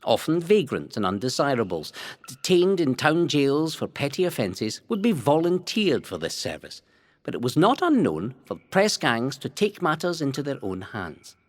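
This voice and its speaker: A man's voice: medium pitch, very animated. Man narrating history with dramatic, swooping delivery. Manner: theatrical, measured storytelling. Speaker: narrator of a local history audio guide.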